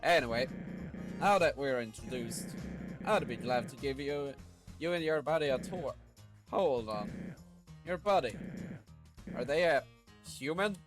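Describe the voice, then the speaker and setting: Man acting video game outlaw boss, theatrical, grandstanding, very animated pitch. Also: unhurried, long pauses, music in the background, lightly acted. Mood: commanding, eager. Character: swaggering Wild West monster town boss, male, showboating.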